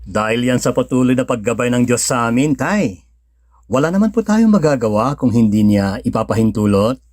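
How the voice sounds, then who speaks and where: An actor playing a devout, tender young husband and father in a sentimental radio drama, speaking gently and sincerely with a Filipino accent. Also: medium pitch, expressive, normal speed, dry recording, clean studio sound, close to an everyday voice. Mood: grateful, respectful. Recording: music bed under the voice.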